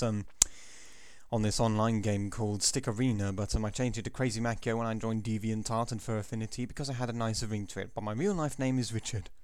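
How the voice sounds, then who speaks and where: A man's voice: on the low side, darkish tone, clear, resonant tone, no echo. An eighteen-year-old man with an English accent chatting casually at his computer, rambling with many ums and self-corrections. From England. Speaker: vlogger. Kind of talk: casual chat answering a questionnaire.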